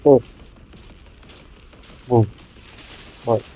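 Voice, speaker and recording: A man's voice: extremely slow, dark tone, highs cut off. A man reading a video game's story aloud, stumbling over some lines, with casual commentary. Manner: stumbles over read lines, re-reads phrases. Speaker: video game story narrator and player.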